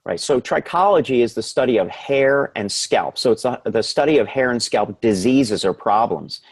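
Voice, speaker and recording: A man's voice: medium pitch, expressive, normal speed, slightly rough, no room sound. A male physician explains his specialty as an interview guest. His voice sits in a middle range and is recorded dry, in a conversational, explanatory style. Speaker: hair restoration physician, expert guest.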